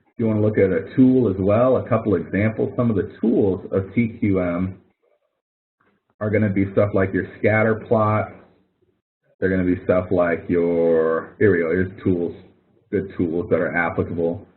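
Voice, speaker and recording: A man's voice: sits low, steady tone, moderate pace, slight background noise. A male instructor giving a webinar lecture in a conversational way, walking through examples and correcting himself as he goes. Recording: tinny phone-like webinar audio.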